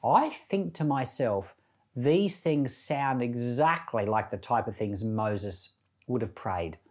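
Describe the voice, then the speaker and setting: Slow-paced man teaching scripture informally. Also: mid-range voice, a little mellow. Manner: plain-spoken, explains verses by paraphrase. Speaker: pastor and Bible podcast host. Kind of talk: informal Bible teaching and prayer.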